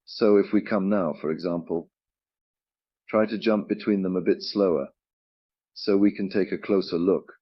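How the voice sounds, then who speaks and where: Man, very low voice, native Russian speaker, chatty livestream talk, dry dull sound. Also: fairly even intonation, moderate pace, long pauses, breathy edge, dry recording. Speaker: game project presenter. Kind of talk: casual livestream chat.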